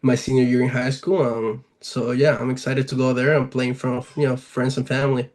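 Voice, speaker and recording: A young man with a Latin American accent speaks casually, as in a sports interview. His pitch is medium and stays very even, with little rise and fall. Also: brisk. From Venezuela. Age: 20s. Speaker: professional baseball player, interview guest.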